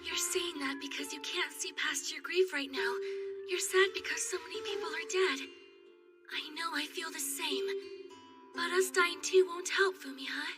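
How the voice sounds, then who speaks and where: A woman's voice: very high voice, moderate pace, recorded from a distance, over music. A woman voicing a loyal, earnest youngster, urgent and encouraging, in an anime dub. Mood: earnest, pleading.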